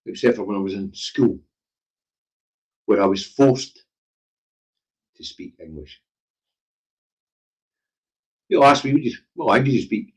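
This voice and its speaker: Man, Glaswegian Scottish accent, lowish pitch, husky, blunt, opinionated, conversational livestream commentary. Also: animated, a little slow, pauses often, poor audio. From Scotland. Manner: blunt, emphatic, sweary, conversational. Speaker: livestream current affairs show host. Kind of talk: opinionated casual live commentary.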